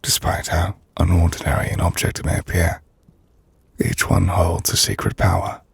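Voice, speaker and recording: A man playing a melancholy, gentle half-vampire nobleman in an intimate ASMR roleplay, speaking in a hushed, breathy, formal and reflective manner. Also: steady tone, no echo, full character voice. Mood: wistful, reflective. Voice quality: low, gravelly, breathy. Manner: hushed, breathy, slow reflective delivery with formal, measured phrasing. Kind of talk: ASMR audio roleplay, intimate dramatic monologue.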